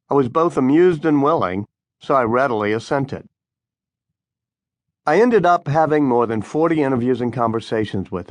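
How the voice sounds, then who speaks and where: A man reads scripted audiobook narration in a measured, articulate way. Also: mid-range voice, dry recording.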